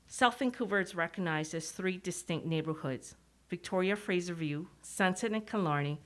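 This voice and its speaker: A middle-aged woman with a Canadian accent giving an informal, self-deprecating public presentation, speaking through a mask. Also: on the low side. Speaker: executive director giving a presentation. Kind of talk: public presentation, partly read from notes.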